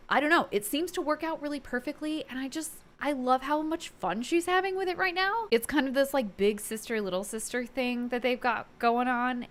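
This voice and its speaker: A woman's voice: fairly high pitch, a bit bright. A woman giving casual, enthusiastic opinion commentary as a video host, chatty and informal with emphatic delivery.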